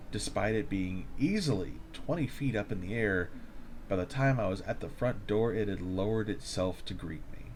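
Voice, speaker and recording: Low-voiced, shaken courier played by a man. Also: background noise. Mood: uneasy, haunted. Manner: confessional, dramatic storytelling. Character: shaken male delivery courier recording an eerie account. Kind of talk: first-person horror audio drama monologue.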